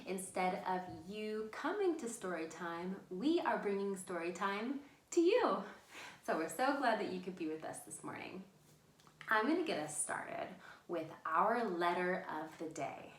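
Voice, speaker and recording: A woman's voice: medium pitch, big pitch swings, measured pace. Echoey woman hosting children's storytime. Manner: encouraging, addresses children directly with simple instructions. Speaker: children's library storytime host. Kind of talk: interactive storytime for young children. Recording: echoey room sound.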